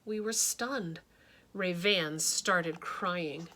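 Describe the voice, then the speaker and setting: Bright, expressive woman reading a picture book. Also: extremely slow. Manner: expressive, shifting pitch and loudness for drama. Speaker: teacher reading aloud. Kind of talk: picture book read-aloud.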